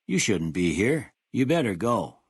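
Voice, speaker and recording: An actor playing a kindly, world-weary old small-town doctor in a video game, with a husky voice and a folksy, rustic American drawl. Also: male voice, on the low side, big pitch swings, a little slow, no room sound, studio quality, heavily acted. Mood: stern, angry. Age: older. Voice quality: husky, gravelly. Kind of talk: video game character dialogue.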